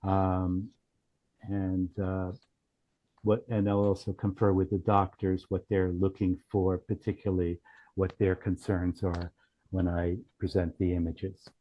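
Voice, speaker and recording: Guy with a lowish voice walking thru a software demo, kinda halting, dull lofi call audio. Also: completely flat delivery. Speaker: company founder demonstrating software. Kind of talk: casual product demo.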